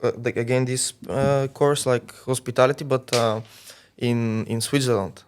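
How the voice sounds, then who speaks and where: A man's voice: medium pitch, steady tone, moderate pace, a little hiss or hum. A man in his twenties from Bulgaria, a podcast guest chatting casually and laughing often. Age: 20s. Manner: laid-back slangy talk, laughs often. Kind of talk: casual chat.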